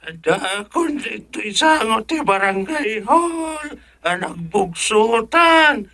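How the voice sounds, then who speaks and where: Male actor as cantankerous phone caller, high piercing voice, Ilocano accent, drawn-out scolding, impatient, radio comedy. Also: animated, bright, forceful tone, exaggerated voice. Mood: exasperated, scolding.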